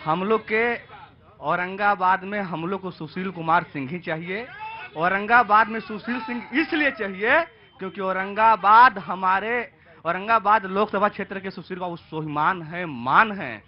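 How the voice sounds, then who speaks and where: A man giving an emphatic, campaign-style political pitch on the street. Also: normal speed. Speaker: party supporter. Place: outdoor street. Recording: dull outdoor audio.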